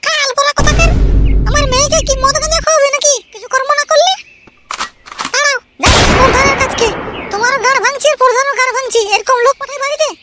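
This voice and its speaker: Scolding middle-aged housewife, squeaky pitched-up cartoon voice, rural West Bengal accent, noisy track. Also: male voice, steady tone, fast. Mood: outraged, suspicious. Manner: scolding, challenging. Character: shrill, hot-tempered middle-aged village housewife, quick to scold. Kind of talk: comic cartoon voice acting.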